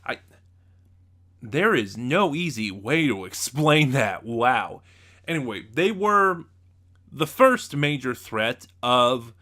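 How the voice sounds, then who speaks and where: Bright-voiced man giving a halting, casual review. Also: medium pitch. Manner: halting, loses his train of thought. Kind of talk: casual unscripted audio drama review.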